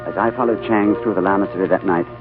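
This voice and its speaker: A man playing a composed, thoughtful gentleman in a 1940s radio drama, urbane and reflective. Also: sits low, fairly even intonation, quick pace, natural voice. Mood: hushed, reflective. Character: composed, thoughtful gentleman diplomat, narrating his adventure. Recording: old narrow-band radio, music behind.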